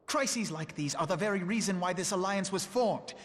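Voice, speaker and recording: An idealistic young male diplomat played by an actor in a fantasy game, with a high voice and formal, eloquent phrasing. He sounds earnest, with long reverb around every word. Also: normal speed, background noise, lightly acted. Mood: indignant. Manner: formal, articulate. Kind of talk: dramatic fantasy game acting.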